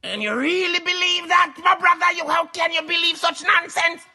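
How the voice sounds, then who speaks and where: Piercingly high, sceptical radio interviewer. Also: male voice, moderate pace, sharp tone, soft background music, full character voice. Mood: scornful, indignant. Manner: incredulous, needling questioning. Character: sceptical, scornful high-voiced radio interviewer, addressing his brother. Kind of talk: comedy radio skit banter.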